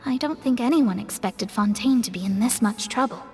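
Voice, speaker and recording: A woman's voice: a little high, fairly quick, darkish tone, big-room sound, a little hiss or hum, natural voice. A woman voicing a proud, studious young astrologer in a video game, explaining things in a precise, self-important manner. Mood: cautionary. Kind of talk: animated game dialogue acting.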